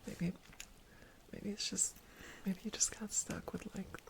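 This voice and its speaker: A woman's voice: medium pitch, fairly even intonation, unhurried, natural voice. A woman voicing a jittery young female captor who talks in whispers and keeps repeating reassurances, in an ASMR roleplay. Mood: falsely sweet.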